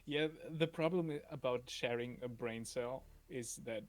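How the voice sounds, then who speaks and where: A man's voice: mid-range voice, unhurried. A man chatting casually on a game stream, teasing and playfully arguing with friends.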